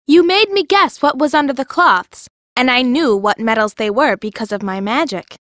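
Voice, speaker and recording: Woman as a high-voiced young apprentice girl. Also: moderate pace, no echo, clean studio sound, natural voice. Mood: recalling. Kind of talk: full-cast audiobook dialogue.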